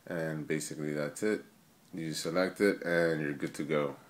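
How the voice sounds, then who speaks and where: A man's voice: rumbling low, steady tone, moderate pace. A man giving a casual, informal game tutorial, thinking aloud in a hesitant way. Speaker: amateur gamer making a tutorial. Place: indoors in a room, filming a TV screen.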